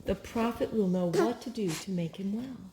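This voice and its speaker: A woman's voice: medium pitch, normal speed, warm tone, slight background noise, close to an everyday voice. A woman voicing a devout, caring young servant girl in a children's storybook, earnest and emphatic. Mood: earnest, hopeful.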